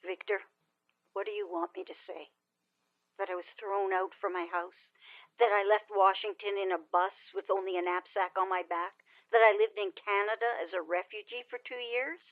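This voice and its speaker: Telephone-filtered, mid-pitched old flame, elderly, witty and wry, for a fiction podcast. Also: female voice, steady tone, normal speed. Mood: hurt, guarded. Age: older. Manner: wry, teasing. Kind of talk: audio drama acting, phone reunion. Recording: tinny, narrow-band phone line.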